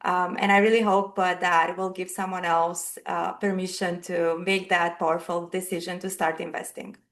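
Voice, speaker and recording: A woman's voice: fairly low pitch, little pitch movement, normal speed, a bit bright. A woman in her thirties from Romania, speaking English with a Romanian accent as a podcast guest, earnestly telling her personal story.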